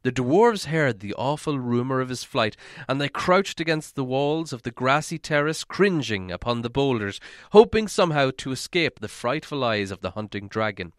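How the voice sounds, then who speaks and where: A man reading a fantasy story aloud as scripted narration, in a theatrical, deliberate manner. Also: mid-range voice, lively intonation, dry recording, studio quality. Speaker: audiobook reader.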